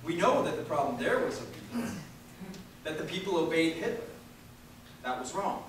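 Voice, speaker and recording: Echoey, animated man giving a political speech. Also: high-pitched, moderate pace. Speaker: speaker reading a historical speech aloud. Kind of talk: impassioned political speech reading at a public event. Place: large echoey room at a live university event. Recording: echoey hall from far off, faint noise.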